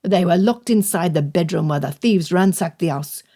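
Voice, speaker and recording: A woman voicing a burly older village man who speaks with blunt, solemn gravity and a lowered voice. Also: normal speed, warm tone. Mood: grave.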